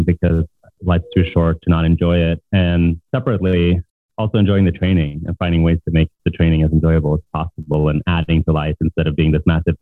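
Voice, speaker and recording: Deep flat-voiced Norwegian man on a tinny line. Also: no room sound. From Norway. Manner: easygoing, talkative, with frequent fillers. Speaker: podcast guest. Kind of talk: casual interview chat. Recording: tinny phone-like remote line.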